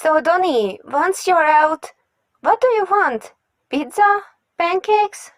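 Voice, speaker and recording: A woman voicing an excitable, caring young boy, an eager and bubbly little brother in an amateur comic dub. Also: extremely high pitch, takes it slowly, no echo, studio quality, heavily acted.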